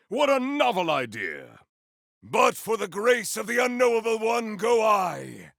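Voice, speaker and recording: An actor voicing a bombastic video game warrior with a rough, gravelly voice and grandiose, formal delivery. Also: male voice, high voice, pushed and bright, no echo, studio quality, heavily acted. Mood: wry musing. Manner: grandiose, theatrical, formal uncontracted speech. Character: bombastic warrior bellowing battle cries with grim relish. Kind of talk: video game combat barks.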